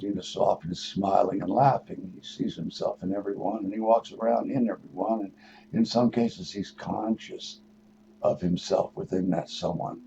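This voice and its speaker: An older man, in his sixties or beyond, hosting a radio show with a rambling spiritual monologue, musing and halting, then leaning into words for emphasis. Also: deep voice, gravelly. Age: 60s or older. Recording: dull lo-fi radio audio with noise.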